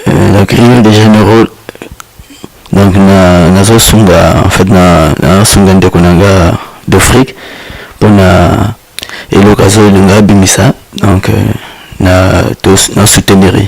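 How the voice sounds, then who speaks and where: A man who plays accompaniment guitar and dances, speaking in a hesitant, halting way. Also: low-pitched, level tone, creaky, darkish tone, no echo, rough recording.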